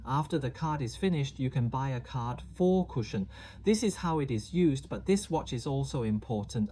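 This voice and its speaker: Mellow-voiced man explaining diamond prices. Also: a little high, slight background noise. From Hong Kong. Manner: chatty, asks the viewer questions. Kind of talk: explaining price charts to camera.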